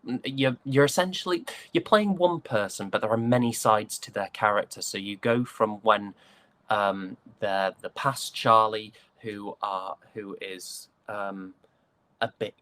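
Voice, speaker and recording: A young British man chatting casually as an interview guest, an actor recounting his roles in a hesitant, halting way. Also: lowish voice, pushed and bright. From United Kingdom.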